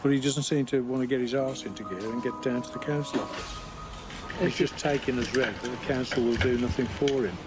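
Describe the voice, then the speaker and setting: A man in the middle of a working day as a High Court agent speaks in a blunt, matter-of-fact way. His voice sits at an ordinary pitch, and music plays clearly behind him. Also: brisk. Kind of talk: on-the-job talk and interview.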